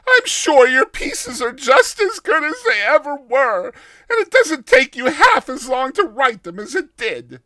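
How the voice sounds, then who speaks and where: Shrill wailing housewife. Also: male voice, huge pitch swings, measured pace, sharp tone, no echo, full character voice. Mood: indignant, tearful. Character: wailing, indignant, devoted middle-class wife.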